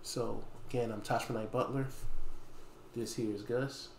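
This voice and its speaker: A man in his thirties talking casually to camera as a store owner making an announcement, pausing often mid-phrase. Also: medium pitch, fairly even intonation, vocal fry, a little mellow. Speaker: small game store owner and video host. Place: dim indoor room with wooden floor. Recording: echoey room, faint background noise.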